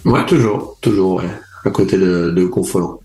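A young man with a very low voice answers interview questions about racing in an easygoing, halting way. He stumbles through fillers and restarts his sentences often. The sound is roomy and echoey. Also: dark tone, faint music behind. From France, raised in Charente from age four. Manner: hesitant, many fillers and restarts. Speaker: endurance motorcycle racer being interviewed.

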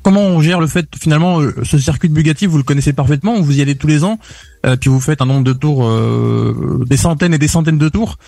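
Man, quick talker, fairly high voice, eager questions, dry sound. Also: gravelly, dark tone, dry recording. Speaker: co-interviewer. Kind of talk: interview questions.

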